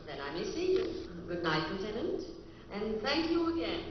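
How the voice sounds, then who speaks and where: An actress playing a gracious, excitable woman nightclub singer in an effusive, old-time melodrama style. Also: medium pitch, normal speed, distant mic, close to an everyday voice. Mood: polite, flustered. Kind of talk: old-time dramatic acting. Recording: muffled echoey playback in a hall, faint noise.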